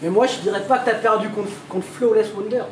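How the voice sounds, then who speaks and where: A man's voice: sits high, steady tone, quick pace. A man battle rapping a cappella, mocking his opponent with taunting punchlines. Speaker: battle rapper. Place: event hall with a crowd. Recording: echoey hall with crowd noise.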